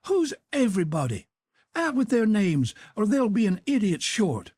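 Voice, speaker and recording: A shrill, sharp-tongued aunt, put on by a male reader swoops high and scolds with a husky edge in a dry, close sound. Her pitch leaps all over as she fusses. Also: sing-song, normal speed, no room sound. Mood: scolding. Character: feisty middle-aged Southern aunt, woman.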